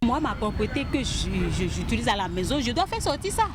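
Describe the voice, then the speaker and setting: A woman's voice: on the high side, sing-song, brisk, over music, crowd noise. A woman answering a street interview outdoors, chatty and giving little everyday examples.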